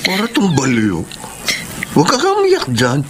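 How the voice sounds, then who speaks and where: A man's voice: sits high, huge pitch swings, moderate pace, a little hiss or hum. A man playing a generous, teasing uncle in a Filipino radio drama, with an easygoing manner. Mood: playful, generous.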